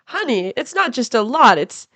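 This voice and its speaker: A woman's voice: fairly high pitch, big pitch swings, moderate pace, bright, forceful tone, dry recording. A woman guesting on a podcast, improvising comedy scenes with brash, crude jokes. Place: house.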